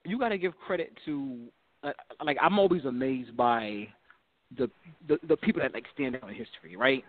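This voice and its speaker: Halting man over a tinny line. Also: medium pitch, no echo. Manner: halting, many restarts and repeats. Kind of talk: casual group discussion.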